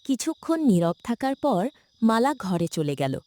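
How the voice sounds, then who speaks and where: A woman narrator telling a scripted cartoon story in a dramatic, expressive way. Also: medium pitch, normal speed, dry recording.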